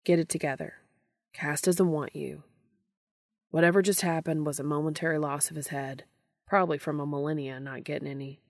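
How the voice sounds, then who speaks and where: A woman's voice: low voice, steady tone, a little fast, darkish tone, no echo, studio quality. A woman voicing a blunt, exasperated male monster hunter with a casual, sweary way of talking in an audio story. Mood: self-scolding.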